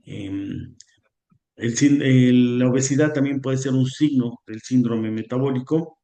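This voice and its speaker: A man's voice: mid-range voice, measured pace, dark tone. A man giving an online conference lecture, hesitant with many fillers and a slightly sore throat. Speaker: guest lecturer on equine nutrition. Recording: roomy echo.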